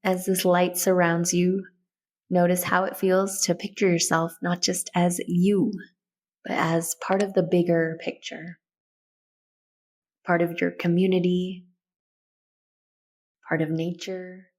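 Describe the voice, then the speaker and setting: A woman leading a guided meditation in a soothing, gentle, instructional way. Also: on the low side, flat delivery, slow pace, pauses often.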